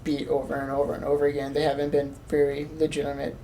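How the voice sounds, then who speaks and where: A woman with a notably low voice chats as a podcast co-host. Her tone is mellow and dark, and her delivery is casual and conversational. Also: completely flat delivery, fast, rough recording. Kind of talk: casual chat.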